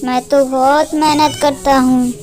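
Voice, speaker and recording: A man's voice: extremely high pitch, little pitch movement, a little slow, darkish tone, voice pushed up. A man voicing an honest, humble farm labourer in a cartoon story, mild and resigned in manner.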